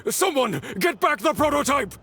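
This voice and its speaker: An actor playing a blustering, greedy boss in a video game, agitated and demanding. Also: male voice, very high-pitched, big pitch swings, normal speed, bright, forceful tone, full character voice. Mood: angry, bitter. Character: blustering, greedy boss clinging to a stolen prototype. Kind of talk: animated video game acting.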